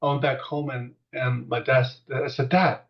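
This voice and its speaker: A man's voice: mid-range voice, little pitch movement, normal speed, creaky. Man, 60s, from South Korea, rambling reminiscence, dull muffled sound. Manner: rambling, many fillers and restarts. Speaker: interviewee. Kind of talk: reminiscing storytelling. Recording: distant muffled mic.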